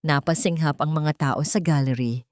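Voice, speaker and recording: Female audiobook narrator, slightly low voice, dry recording, measured dramatic delivery of scripted fiction. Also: no echo.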